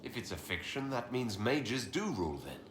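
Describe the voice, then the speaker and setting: A man's voice: medium pitch, big pitch swings, normal speed, echoey room, background noise, close to an everyday voice. A man playing a curious, earnest fantasy adventurer in a video game, asking things in a plain, inquisitive way. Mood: probing. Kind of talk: video game dialogue acting.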